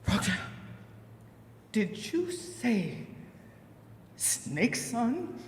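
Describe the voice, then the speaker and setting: Stern, worried storybook mama frog played by a man, slow and emphatic delivery, big reverberant hall. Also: medium pitch, animated, a little hiss or hum. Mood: shocked. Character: alarmed, stern mother frog warning her son.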